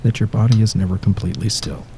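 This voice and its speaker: A deep male voice with a gravelly fry guides the listener toward sleep as a hypnotist. He speaks in short, measured, soothing phrases with pauses, the endings softening almost to a whisper. A noticeable bed of rumbling, ticking noise runs behind him. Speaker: hypnotist guiding a sleep session. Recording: steady background noise, low rumble and ticking. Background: ticking clock-like rhythm.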